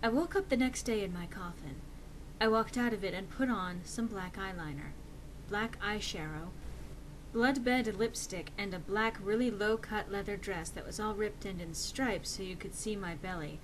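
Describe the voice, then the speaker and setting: A woman's voice: medium pitch, normal speed, slight background noise, poor audio. A woman reading fan fiction aloud as a dramatic, mock-serious narration with theatrical emphasis.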